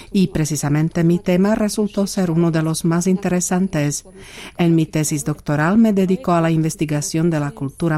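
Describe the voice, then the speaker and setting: A woman reading a first-person voice-over translation of an interview answer in a calm, even, explanatory way. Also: sits low.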